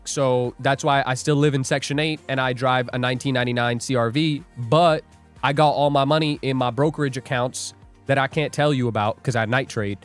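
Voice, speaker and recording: A young man hosting a live trading stream, joking and rambling as he reads viewer comments aloud. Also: medium pitch. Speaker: livestream day trader host. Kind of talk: casual livestream chat.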